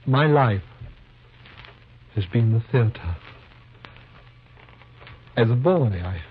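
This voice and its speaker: An actor in a 1950s radio drama playing an ugly, desperate fugitive who speaks in florid, halting Shakespearean phrases. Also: male voice, mid-range voice, animated, slightly rough. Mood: bitter, sorrowful. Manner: florid, theatrical, halting speech. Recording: dull, noisy old radio recording.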